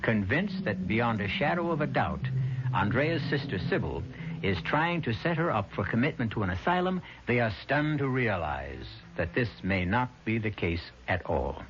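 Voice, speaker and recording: A man's voice: lowish voice, very animated, moderate pace. A man hosting and narrating a vintage radio drama in a dramatic scripted style. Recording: dull vintage radio recording. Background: music.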